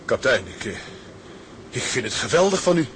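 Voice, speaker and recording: An actor playing a plain-spoken young sailor in a radio drama, husky, colloquial and hearty. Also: male voice, medium pitch, sing-song, fairly quick, fairly bright tone, a little hiss or hum. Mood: deferential. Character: hearty, plain-spoken young Dutch seaman and loving husband. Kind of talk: Dutch radio drama acting.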